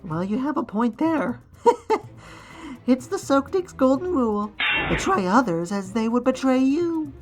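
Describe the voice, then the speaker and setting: Woman as a lively, smug cartoon villain inventor. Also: sits high, measured pace, over music. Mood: wry, scheming. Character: wry, scheming villain inventor henchman. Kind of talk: amateur fan-dub animation acting.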